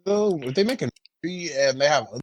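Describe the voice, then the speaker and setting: A man's voice: on the high side, lively intonation, fast, dry recording. A man co-hosting a podcast, chatting casually with slangy, profane informality. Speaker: podcast co-host. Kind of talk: casual chat.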